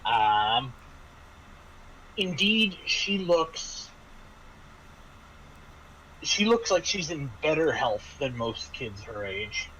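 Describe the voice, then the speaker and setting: A man narrating a tabletop roleplaying game as game master, halting and repeating words as he improvises. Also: mid-range voice, animated, a bit bright, slight background noise, rough recording.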